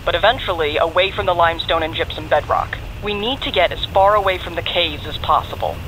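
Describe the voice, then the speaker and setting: An actress playing a brisk National Guard general in an audio drama, direct, controlled and authoritative. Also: female voice, fairly low pitch, background noise, close to an everyday voice. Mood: firm, grave. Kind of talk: audio drama dialogue over radio.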